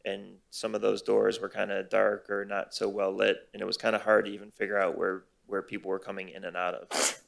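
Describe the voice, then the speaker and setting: A man's voice: lowish voice, monotone, brisk, creaky, a bit bright. A man, a police detective, speaking hesitantly to a city board. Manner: hesitant, many fillers. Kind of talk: meeting testimony. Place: city board meeting room.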